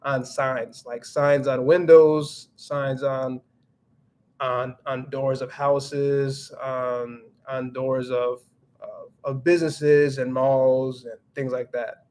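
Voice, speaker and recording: A man's voice: mid-range voice, flat delivery, slow, darkish tone. A young man talks casually on a livestream in a mid-range voice. He rambles and restarts often, filling gaps with "like". He sounds easygoing and unscripted. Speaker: livestream host reading chat. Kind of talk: casual livestream chat, mixing English and Spanish.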